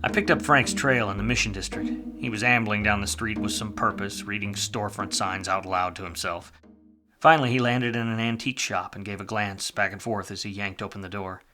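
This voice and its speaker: Man acting a low, hard-boiled noir private eye. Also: steady tone, brisk, over music, lightly acted. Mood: wry, brooding narration. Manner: sardonic, hard-boiled slangy delivery. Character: hard-drinking, wisecracking, jaded 1940s private detective. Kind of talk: film noir radio play acting and narration.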